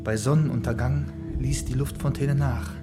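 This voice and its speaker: A man's voice: mid-range voice, steady tone, normal speed, loud music behind the voice, close to an everyday voice. A man playing Kuno, a haunted rebellious son. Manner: intense, haunted storytelling that swells to shouting. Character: young man, rebellious son who escaped underground world. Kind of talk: radio play acting.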